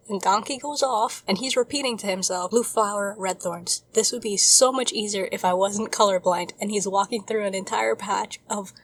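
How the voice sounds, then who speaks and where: A woman's voice: medium pitch, moderate pace, rough recording. A woman in her twenties co-hosting a casual podcast, talking in an enthusiastic, rambling way. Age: 20s. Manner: enthusiastic, rambling, retells scenes with relish. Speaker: podcast co-host. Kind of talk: casual chat.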